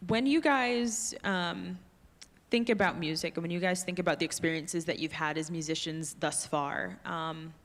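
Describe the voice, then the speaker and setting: A woman moderates a live panel in a lowish voice, casual and chatty, thinking aloud as she asks her questions. A long hall reverb hangs on her words. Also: normal speed. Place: large hall.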